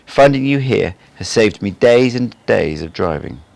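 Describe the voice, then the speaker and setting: A man's voice: fairly low pitch, expressive, measured pace, vocal fry, a little mellow. A man voicing an earnest, puzzled apprentice writer in an audiobook, with a questioning way of talking. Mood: relieved.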